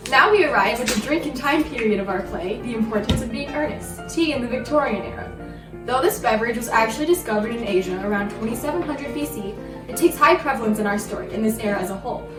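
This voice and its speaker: A woman's voice: on the high side, lively intonation, quick pace. A young woman hosting a video from a script as its presenter. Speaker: presenter and editor. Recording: echoey, distant from the mic. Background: background music.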